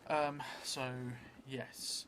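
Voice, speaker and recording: A middle-aged man thinking aloud as he improvises a solo tabletop game, hesitant and musing with long pauses. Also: on the high side. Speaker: solo role-player and streamer. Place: indoor theatre room, seated at a table. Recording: clear steady background noise.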